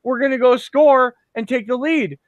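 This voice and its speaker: Excitable high-voiced man talking football. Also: moderate pace, dry recording. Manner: excitable, swears, jokey asides. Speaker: podcast co-host. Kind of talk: casual sports chat.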